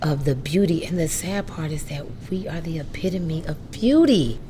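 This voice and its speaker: A woman's voice: sits low, normal speed, dark tone, slight background noise. A woman in her fifties in casual conversation, speaking emphatically and repeating phrases to drive them home. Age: 50s.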